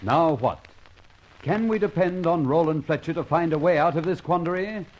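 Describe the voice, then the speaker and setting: High-pitched dramatic radio serial announcer man. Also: normal speed, close to an everyday voice, rough recording. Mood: dramatic, portentous. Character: dramatic serial announcer posing cliffhanger questions. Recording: old radio recording with faint music.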